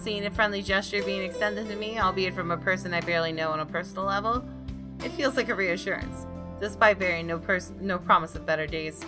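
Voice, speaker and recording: A woman's voice: mid-range voice, sharp tone. A woman hosting a gaming channel, reading visual novel narration aloud and commenting casually. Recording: music behind the voice.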